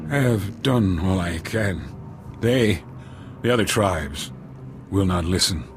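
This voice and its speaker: Solemn alien chief guy, kinda deep, formal halting speech, music pretty loud behind. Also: animated. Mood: solemn, wary. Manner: formal, halting, deliberate phrasing. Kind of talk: video game dialogue.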